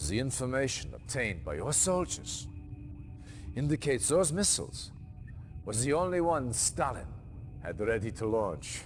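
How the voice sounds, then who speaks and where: Casting: measured, authoritative officer giving mission briefings in a game cutscene, slow, lively pitch, faint music. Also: male voice. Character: commanding male officer briefing the player. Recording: game soundtrack music underneath.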